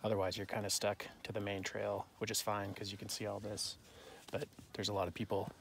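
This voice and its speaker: A man's voice: lowish voice, completely flat delivery, a little fast, vocal fry. A man chatting casually on a snowy hiking trail, explaining what you need for the backcountry. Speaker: companion on a hike. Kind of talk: casual outdoor chat. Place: outdoors on a snowy mountain trail. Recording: noisy outdoor camera audio.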